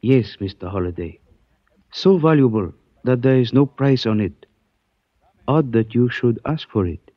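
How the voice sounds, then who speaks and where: An actor playing a suave male antique dealer who speaks in stilted, formal phrases, measured and evasive, in 1940s radio style. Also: mid-range voice, lively intonation, no room sound. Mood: cryptic, courteous. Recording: dull dry old radio recording.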